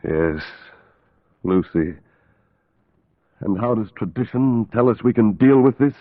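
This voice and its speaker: Curt, impatient radio-play doctor. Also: male voice, lowish voice, lively intonation, unhurried. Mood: troubled, skeptical. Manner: clipped, brusque. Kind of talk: old-time radio drama acting. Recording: tinny narrow-band vintage radio recording.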